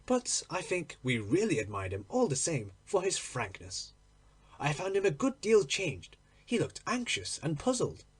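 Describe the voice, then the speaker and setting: A man narrates a literary story in a fairly high voice that rises and falls in a very animated way. His phrasing is measured and deliberate, and the tone has a husky, breathy grain. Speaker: audiobook reader.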